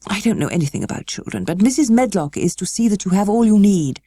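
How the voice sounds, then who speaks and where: A woman voicing a weary, melancholy gentleman guardian for a storybook, kindly but stiff, with slow, halting, well-spoken delivery. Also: medium pitch, expressive, dry recording, clean studio sound, pitched above the performer's own voice, natural voice.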